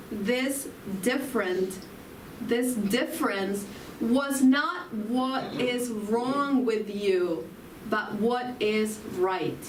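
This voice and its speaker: Slow Argentine woman in an echoey hall. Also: on the high side, slight background noise. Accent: Argentine Spanish. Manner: slow, reflective, asks the audience questions. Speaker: spiritual talk speaker. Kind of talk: inspirational sermon. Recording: roomy, from far off, faint ambience.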